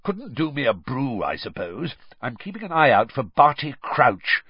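Male reader as boisterous storybook wizard official, middle-aged but boyish, booming, husky, wildly lively pitch, carefree. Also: moderate pace, no room sound, muffled recording. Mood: comfortable, breezy. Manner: booming, eager, cheerfully careless.

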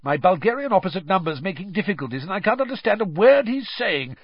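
A man voicing a jovial, boyish middle-aged wizard official in a storybook, booming and eager. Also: big pitch swings, fast talker, slightly rough, dry recording, dull audio, exaggerated voice. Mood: comfortable, breezy. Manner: booming, eager, cheerfully careless.

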